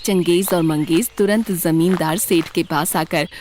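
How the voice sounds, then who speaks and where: A woman's voice: on the low side, measured pace, darkish tone, slight background noise. A woman narrating a scripted children's story as the storyteller.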